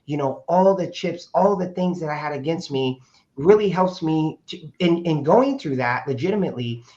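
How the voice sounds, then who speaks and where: Guest, male, 50s, raspy and a bit hoarse, rambling and casual, dull lo-fi sound. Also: on the high side, animated, normal speed, darkish tone. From Portland, Oregon, USA. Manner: rambling with frequent fillers and restarts. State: hoarse from speaking over the weekend. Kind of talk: casual conversational storytelling. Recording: dull lo-fi audio.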